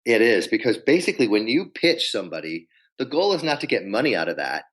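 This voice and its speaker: A man coaching on pitching in a podcast interview, telling stories and acting out quoted voices. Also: quick pace. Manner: animated storyteller acting out quotes.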